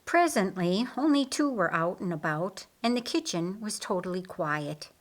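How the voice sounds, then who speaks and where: A woman's voice: fairly low pitch, animated, moderate pace. A woman reading a story aloud as an audiobook narrator, in scripted storytelling style.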